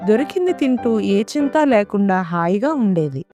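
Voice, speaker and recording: A woman's voice: medium pitch, expressive, normal speed. A woman storyteller reading scripted narration for a children's story. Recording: music behind the voice.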